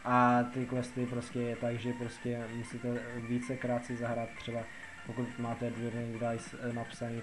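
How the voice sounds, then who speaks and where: A man's voice: medium pitch, rough recording. A young adult Czech man giving a casual game tutorial, explaining in a rambling, repetitive, colloquial way. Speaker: gaming video host.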